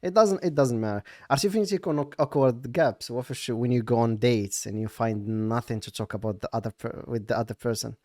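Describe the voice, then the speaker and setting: A young man from eastern Morocco hosting a casual stream chat, relaxed and mixing English with Moroccan Arabic. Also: medium pitch, racing, hardly any pauses, no echo, studio quality. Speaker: streamer and host.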